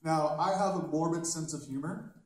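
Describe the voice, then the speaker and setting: Blunt stand-up comic in an echoey hall. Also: male voice, fairly high pitch, normal speed. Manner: dark, blunt humor with conversational asides. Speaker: stand-up comedian. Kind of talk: stand-up comedy set. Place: large wood-panelled hall with a small stage. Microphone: handheld mic. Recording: very echoey hall from a distant camera.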